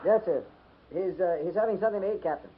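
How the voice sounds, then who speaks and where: An actor playing an earnest, deferential junior cavalry officer, slightly halting, in a 1950s radio western. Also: male voice, high-pitched, slightly rough. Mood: dutiful. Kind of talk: 1950s radio drama acting. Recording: noisy, tinny 1950s radio recording.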